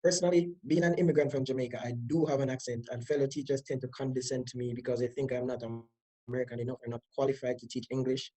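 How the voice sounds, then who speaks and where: A man speaking as a teacher on a scripted panel discussion, measured and read from a script. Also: mid-range voice, fairly even intonation, mellow, low-quality recording.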